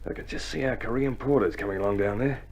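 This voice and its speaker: A man's voice: lowish voice, fast talker, slight background noise, natural voice. An actor playing a seasoned middle-aged Australian infantryman in a radio drama, dry and laconic. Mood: steady, chiding. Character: steady forty-four-year-old Australian infantryman, dry and seasoned. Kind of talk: 1960s radio drama acting.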